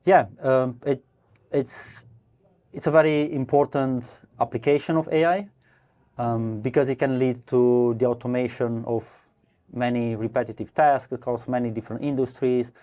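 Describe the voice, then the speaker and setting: A man from Romania with a mellow, darker tone speaks as a podcast guest. He talks casually, stopping and restarting with many fillers and the odd laugh. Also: medium pitch, steady tone, normal speed. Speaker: guest, startup co-founder. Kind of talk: casual interview answers. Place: brewery podcast studio.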